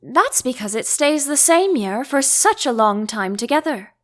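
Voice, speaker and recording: A woman acts out a proper young storybook girl, curious and easily put out. Her voice is high and swings up and down, and she objects primly, taking her time over the words. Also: sing-song, fairly bright tone, full character voice. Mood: puzzled, polite. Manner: prim, quick to object.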